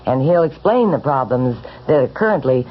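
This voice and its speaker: Animated low-voiced woman in a radio promo. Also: normal speed. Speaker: radio talk show host. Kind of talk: scripted radio programme promo. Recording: muffled 1970s radio broadcast.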